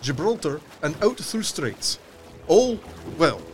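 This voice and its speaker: A troubled sea captain in an audio drama, his voice high and very animated in pitch. He reads his log slowly, in clipped, terse phrases. Faint boat and water noise sits behind him. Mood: steady, relieved. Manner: terse, deliberate.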